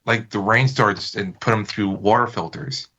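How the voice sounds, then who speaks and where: A man's voice: on the low side, normal speed, sharp tone, lo-fi sound. A man as a podcast guest, explaining things in casual, loosely phrased chat with tentative wording.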